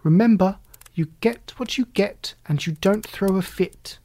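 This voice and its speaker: A woman voicing a patient, gentle but firm teacher in a children's storybook about kittens. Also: mid-range voice, a little slow, pitched above the performer's own voice. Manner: explaining, patient.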